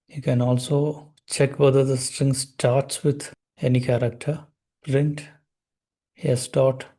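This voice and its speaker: A young man, slowly explaining code step by step as he types in a tutorial. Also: medium pitch, warm tone, slightly rough. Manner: slow, step-by-step explaining while typing code. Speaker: programming tutor. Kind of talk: screen-recorded coding tutorial.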